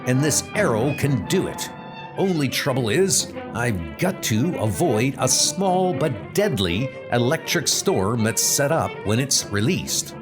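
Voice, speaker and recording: A man playing an earnest, grimly determined archer superhero in a comic book dub, with deliberate, dramatic delivery. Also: mid-range voice, sing-song. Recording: loud music under the voice.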